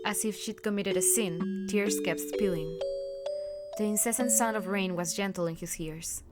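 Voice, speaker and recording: A woman reading a visual novel aloud as a storyteller, with expressive narration. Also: medium pitch, normal speed. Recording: loud music behind the voice. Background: game music.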